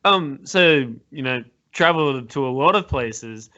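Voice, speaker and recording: Aussie guy podcast host, normal pitch, chatty and a bit hesitant, slightly muffled audio. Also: animated, measured pace, pushed and bright. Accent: Australian. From Australia. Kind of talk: casual interview chat. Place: home room with wooden cabinet. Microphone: large desktop microphone up close. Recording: slightly dull call audio.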